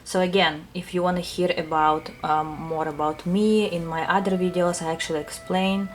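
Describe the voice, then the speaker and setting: A woman with a Russian accent, a tarot reader answering her viewers' questions in a soft, unhurried casual talk full of small fillers. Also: on the low side, fairly even intonation, faint music behind.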